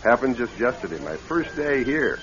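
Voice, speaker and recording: An actor playing a genial, chatty gentleman broker in a classic radio drama. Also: medium pitch, animated, normal speed, close to an everyday voice. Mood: genial, interested. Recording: dull old radio, busy crowd behind. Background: crowded dining room chatter.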